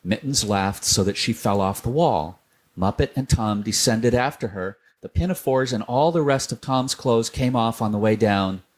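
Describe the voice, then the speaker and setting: A man reading a children's storybook aloud, gentle and unhurried. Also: fairly low pitch.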